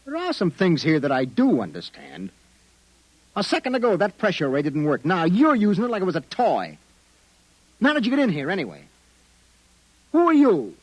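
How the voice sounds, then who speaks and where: A panicky, fast-talking private eye in a 1950s radio drama. His high, husky voice swings wildly in pitch as he stammers and blusters. The sound is close and dry. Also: dry recording, heavily acted, poor audio. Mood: nervous bluster. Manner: nervous, stammering, excitable.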